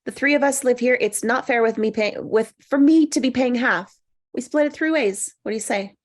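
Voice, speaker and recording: A woman playing an awkward, quietly competitive single woman in a screenplay table read, conversational and defensive. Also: a little high, moderate pace, lightly acted. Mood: exasperated.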